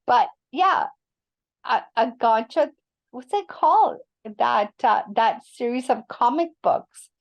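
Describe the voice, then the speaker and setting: A woman of about fifty, an educator speaking on an online panel over a video call, often halting as she searches for words. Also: on the high side, animated, vocal fry. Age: around 50. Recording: dull lo-fi audio.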